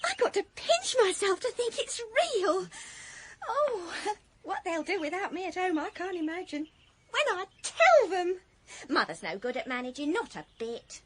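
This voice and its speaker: Eager young Englishwoman, very high-pitched. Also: big pitch swings, a little slow, exaggerated voice. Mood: excited, tender. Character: eager, sentimental young working-class woman being courted. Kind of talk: radio drama acting.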